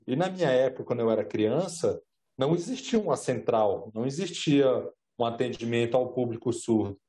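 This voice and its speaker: Man, first language sign language, interpreter, warm mellow tone, calm, signing while speaking. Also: mid-range voice, brisk. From Brazil. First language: Brazilian Sign Language (Libras). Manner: calm, plain storytelling with filler tags and restarts.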